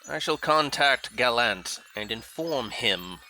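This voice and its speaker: A man's voice: mid-range voice, expressive, takes it slowly, sharp tone, full character voice, poor audio. A man acting as an obedient alien general, a villain's henchman in an audio drama, speaking formally and dutifully. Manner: formal, deferential to master, crisp orders to underlings. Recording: clear background noise.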